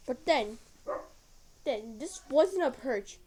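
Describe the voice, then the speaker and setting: A woman's voice: high-pitched, lively intonation, takes it slowly, darkish tone. A woman improvising a story in a hesitant way, repeating herself and trailing off. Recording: noisy amateur recording with clicks.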